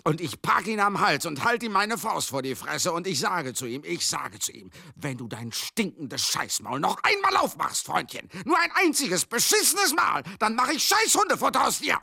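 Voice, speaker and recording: An actor in a German radio drama monologue, playing a garrulous, rough-mouthed showbusiness man, chatty, theatrical and excitable. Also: high-pitched, normal speed, few pauses, dry recording, studio quality, full character voice. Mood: furious. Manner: chatty, theatrical, swinging into outbursts.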